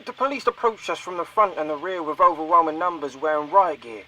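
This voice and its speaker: A man's voice: on the high side, fairly quick, sharp tone. A man playing a shocked, outraged eyewitness giving a news-style account in an audio drama. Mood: shocked, indignant. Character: shocked, outraged male eyewitness to police violence. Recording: distant, radio-like, faint noise.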